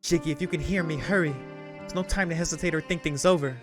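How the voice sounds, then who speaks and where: A man's voice: on the high side, fairly even intonation, fast, voice pushed up, natural voice. A man voicing a blunt, self-assured, ancient vampire woman from a visual novel, coolly insistent. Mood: urgent, commanding. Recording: music behind the voice.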